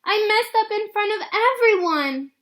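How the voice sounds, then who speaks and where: An eager, kind-hearted young schoolgirl from a storybook, acted out by a woman reading aloud. The voice is very high and swings widely in pitch, earnest and expressive. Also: measured pace, exaggerated voice. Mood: upset.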